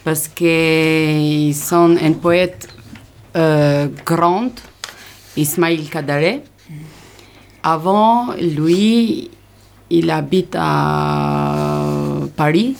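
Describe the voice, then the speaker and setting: A woman picks her way through French, halting and searching for words. Her voice is low and her pace is very slow. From Albania. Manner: hesitant, halting learner French. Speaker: language learner.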